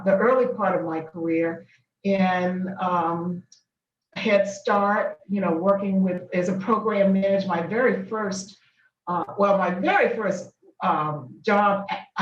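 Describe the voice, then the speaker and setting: Older woman, distant echoey recording. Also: mid-range voice. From Boston, Massachusetts. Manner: thoughtful, many ums and restarts. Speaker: guest, business founder. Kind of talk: interview, recounting her career. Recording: distant, dull, echoey room with faint noise.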